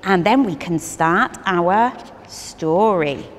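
Lively sing-song woman reading to children. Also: on the low side, takes it slowly, in a large hall. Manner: sing-song, animated, dramatic gasps, talks to a bear mascot. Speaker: early years teacher reading a picture book. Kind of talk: children's story time read-aloud. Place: studio with a green-screen backdrop, seated on a sofa.

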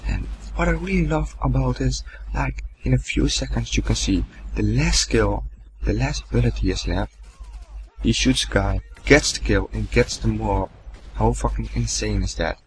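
A young man giving casual gameplay commentary, rambling and swearing, with a deep, gravelly morning voice. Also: moderate pace, a little hiss or hum, low-quality recording. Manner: rambling, swearing, laughing at himself. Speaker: gaming commentator.

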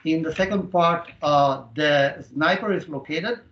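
A middle-aged man explaining a software demo step by step with halting, non-native phrasing, over a video-call headset. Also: on the high side. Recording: dull, roomy online call audio.

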